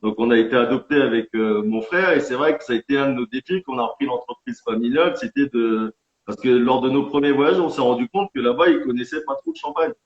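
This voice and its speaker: Male winegrower guest, earnest and halting, roomy lo-fi recording. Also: medium pitch. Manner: earnest, explanatory, somewhat halting. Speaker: independent champagne winegrower. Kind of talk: guest in a live-streamed interview. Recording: echoey, dull lo-fi sound.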